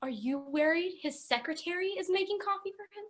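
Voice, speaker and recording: A woman's voice: very high voice, lively intonation, a little fast. A woman playing a self-assured, sweetly patronizing housewife friend in an old-style radio commercial, earnest and polished. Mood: concerned.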